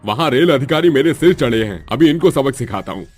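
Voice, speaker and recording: A man's voice: medium pitch, expressive, brisk, darkish tone, soft background music, close to an everyday voice. A man playing a stammering cartoon policeman. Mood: gruff. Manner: stammering, pleading. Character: panicking cowardly male police officer.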